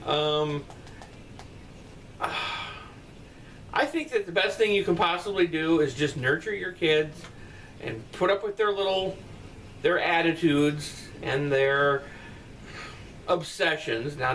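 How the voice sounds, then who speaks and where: Middle-aged father, blunt rambling talker, slightly raised pitch; distant-mic bedroom recording with faint noise. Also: fairly bright tone. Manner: rambling, blunt, profane asides. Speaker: father answering viewer comments. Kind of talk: casual comment-response chat. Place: bedroom at night, indoors.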